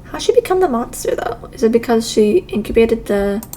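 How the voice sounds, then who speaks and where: A woman's voice: fairly high pitch, lively intonation, normal speed, mellow, a little hiss or hum. A woman doing a casual, exclamatory game playthrough, reading dialogue aloud and reacting to it. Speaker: streamer reading visual novels aloud.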